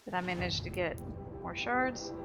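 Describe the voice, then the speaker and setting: A woman's voice: lowish voice, normal speed. A woman giving casual, off-the-cuff commentary as she plays a video game. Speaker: gaming video host. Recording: game music behind the voice. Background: game music.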